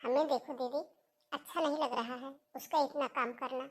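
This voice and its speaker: Woman acting high-voiced, gentle female relative. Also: steady tone, normal speed. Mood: gently reproving. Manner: gentle, concerned, polite. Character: kind, reasoning female relative defending the widow. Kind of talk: Hindi cartoon family drama acting.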